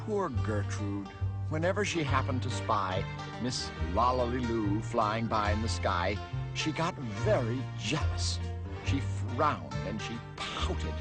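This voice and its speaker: A male narrator reads rhyming verse for children in a theatrical, very animated way. He takes his time over the lines, with clear music playing behind him. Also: medium pitch. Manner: theatrical, dramatic emphasis. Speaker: storybook narrator.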